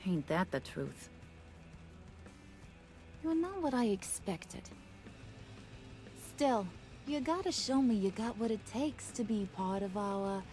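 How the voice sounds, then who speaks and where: An actress with an American accent playing a playful, menacing young villainess in a video game, teasing in sing-song slang with mock sweetness. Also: female voice, medium pitch, big pitch swings, takes it slowly, pauses often, darkish tone, faint music behind. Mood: sly teasing.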